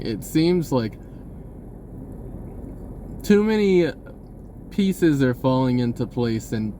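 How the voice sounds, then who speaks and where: A man talking casually in a rambling monologue, halting and pausing mid-sentence as he goes. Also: mid-range voice, dark tone, a little hiss or hum. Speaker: vlogger.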